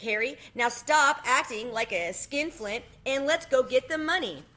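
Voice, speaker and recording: A woman's voice: sits high, animated, unhurried, sharp tone, voice pushed up, heavily acted. A woman voicing a blunt, wry, no-nonsense male city cat in a children's storybook, dry and impatient. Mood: exasperated. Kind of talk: children's storybook read-aloud.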